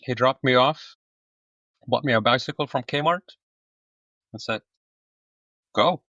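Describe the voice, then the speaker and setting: A man in his forties, originally from Egypt, talking openly as a podcast guest, relaxed with frequent fillers. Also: mid-range voice, slow pace, no room sound, lo-fi sound. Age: 40s. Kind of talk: casual conversation.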